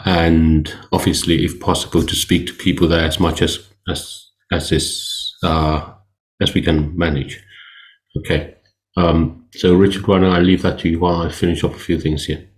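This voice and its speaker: Man, very deep voice, monotone, halting, online meeting. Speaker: meeting co-host.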